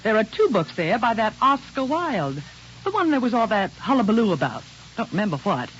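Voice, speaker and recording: An actress playing a scolding, practical middle-aged small-town mother in an old-time radio drama, brisk and disapproving. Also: a little high, big pitch swings. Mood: stern scolding. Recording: hissy, dull vintage radio recording.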